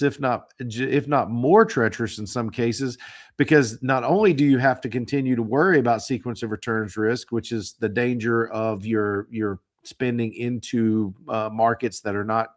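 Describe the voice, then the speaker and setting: A man hosting a financial podcast, hoarse from the flu, talking casually with frequent fillers and repeated words. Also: medium pitch, normal speed. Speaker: podcast host.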